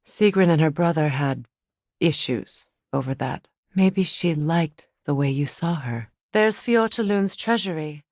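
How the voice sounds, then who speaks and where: A woman voicing a composed, wry, middle-aged warrior goddess, a measured and knowing companion in a video game. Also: low voice, lively intonation, darkish tone, no echo, studio quality, heavily acted. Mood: knowing, gentle.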